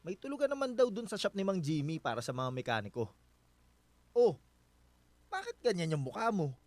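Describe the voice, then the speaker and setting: Gentle Filipino husband, lively intonation. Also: male voice, sits high, moderate pace, no echo. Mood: reassuring. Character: gentle, considerate husband reassuring his wife. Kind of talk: Filipino radio drama acting.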